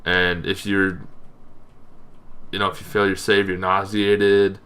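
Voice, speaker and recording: A man hosting an informal explainer on tabletop game monsters, talking in a casual, halting, loosely phrased way. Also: low voice, completely flat delivery, bright, forceful tone, clear, resonant tone. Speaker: host of a tabletop roleplaying lore show.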